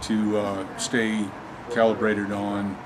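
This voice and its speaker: A man in his fifties giving an informal, matter-of-fact on-camera explanation of his workplace as a fire staff officer, with halting delivery. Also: lowish voice, flat delivery. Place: busy open dispatch office, indoors. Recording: clear background noise, air-conditioning hum.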